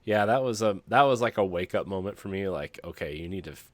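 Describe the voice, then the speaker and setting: A man co-hosting a podcast, telling personal stories casually in halting, broken-up phrases. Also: deep voice, expressive, dry recording. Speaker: podcast co-host.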